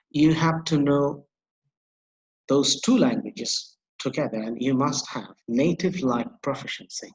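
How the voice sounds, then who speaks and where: A man lectures at a middle pitch and circles back to rephrase each point. The sound is roomy and a little muffled, like a home recording. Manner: repetitive explaining with many fillers and restarts. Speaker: university lecturer.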